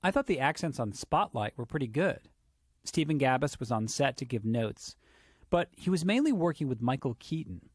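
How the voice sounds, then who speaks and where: A man's voice: medium pitch, big pitch swings, normal speed, breathy edge, dry recording, clean studio sound. A man from the Boston area narrating a radio feature and interviewing, in a wry conversational way. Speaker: reporter.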